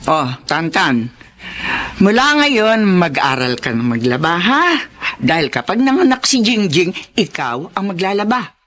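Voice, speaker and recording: Radio drama fretful mother; piercing, highly expressive, flustered. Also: a little slow. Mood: nagging. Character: fretful mother of grown children. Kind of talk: Tagalog radio drama acting.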